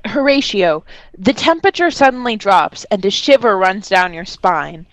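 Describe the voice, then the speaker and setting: A woman's voice: mid-range voice, expressive, moderate pace, dry recording, low-quality recording. A woman narrating a tabletop role-play podcast as game master, theatrical and slangy in her delivery.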